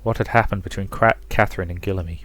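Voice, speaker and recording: Low-voiced man narrating dramatically. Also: fairly even intonation, quick pace, fairly bright tone, faint music behind. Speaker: let's play narrator. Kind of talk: dramatic storybook narration, reading aloud.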